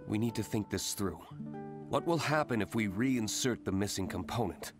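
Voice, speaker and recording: A man voicing a cautious, cool-headed young fighter in a game, guarded and deliberate. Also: fairly low pitch, expressive, over music, close to an everyday voice. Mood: wary. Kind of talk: game voice acting.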